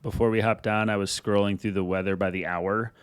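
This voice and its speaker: A man's voice: fairly low pitch, steady tone, fairly quick, clean tone, dry recording. A man co-hosting a podcast in casual chat, joking and rambling. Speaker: podcast co-host.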